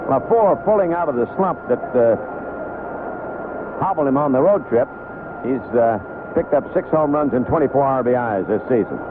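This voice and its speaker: A man announcing baseball play-by-play on the radio in a conversational way, with clipped phrases between pauses. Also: a little high. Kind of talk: live play-by-play commentary. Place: broadcast booth at a baseball stadium. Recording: noisy lo-fi 1970s AM radio broadcast.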